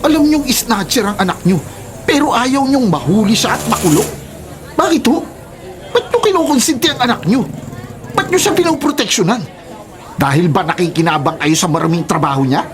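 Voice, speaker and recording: A man's voice: sits high, animated, fairly quick, crowd noise. A man acting as an indignant, righteous husband in a Filipino radio drama. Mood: furious, accusing.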